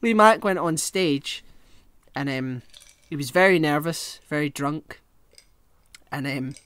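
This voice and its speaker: This is a voice in casual podcast chat, with pitch that moves around a great deal. The delivery is that of an animated, rambling storyteller who swears freely. The sound is dry, with next to no room in it. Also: takes it slowly, clean tone, dry recording. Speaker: podcast co-host.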